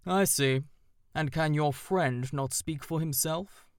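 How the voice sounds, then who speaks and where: A narrator voicing a stately old male owl who speaks in a formal, measured and aloof way. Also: medium pitch, clear, resonant tone, dry recording, clean studio sound. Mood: grave, formal.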